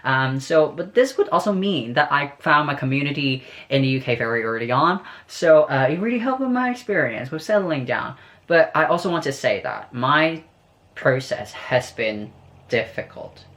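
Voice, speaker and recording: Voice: mid-range voice, animated, moderate pace, fairly bright tone. Candid, chatty young vlogger from Hong Kong, casual advice-giving delivery.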